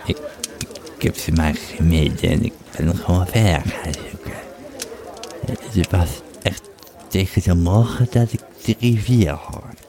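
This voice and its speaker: A man playing a weary, troubled Roman legionary who tells his tale haltingly in an audio drama. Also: fairly low pitch, pitched above the performer's own voice. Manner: halting, repeating words, hushed at times. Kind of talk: audio drama monologue and narration.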